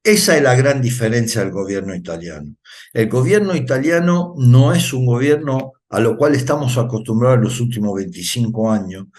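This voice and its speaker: A man's voice: mid-range voice, moderate pace, a little mellow. Emphatic Italian-born man commenting. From Italy. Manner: emphatic, repeats phrases for weight, frequent "eh" fillers. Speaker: interview guest. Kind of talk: political commentary.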